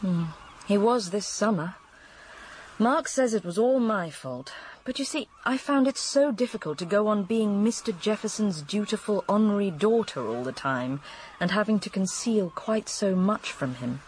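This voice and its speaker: An actress playing a candid, world-weary English widow in a radio drama, confiding and matter-of-fact. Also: female voice, mid-range voice, lively intonation, moderate pace, a little mellow, slight background noise, lightly acted. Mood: confiding, resigned.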